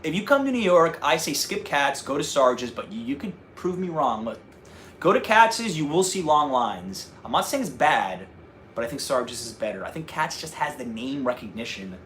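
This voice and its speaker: North jersey dude chatting on a livestream, mid pitch, bit of noise behind. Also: male voice, very animated, normal speed, fairly bright tone. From North Jersey, USA. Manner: chatty, answers viewer questions, restarts and fillers. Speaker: travel vlogger hosting a livestream. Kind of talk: casual livestream chat.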